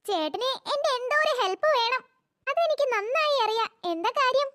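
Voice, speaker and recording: A performer voicing a teasing, sassy young woman in a cartoon, with a pitched-up voice. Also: lively intonation, normal speed, pushed and bright, no echo, studio quality, exaggerated voice. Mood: suspicious, teasing. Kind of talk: cartoon voice acting.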